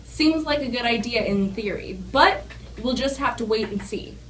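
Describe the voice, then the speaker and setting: A woman's voice: a little high, normal speed, a bit bright. A young woman reading scripted news as an anchor. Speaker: news anchor in an amateur newscast. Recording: distant mic, faint background noise.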